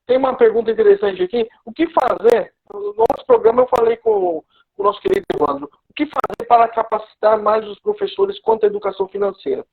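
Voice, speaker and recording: A man with a high, gravelly voice hosts a live online talk and asks his guest questions in an easy, chatty way. His audio comes through a thin, phone-like video call line. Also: quick pace. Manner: relaxed, conversational questions. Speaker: host.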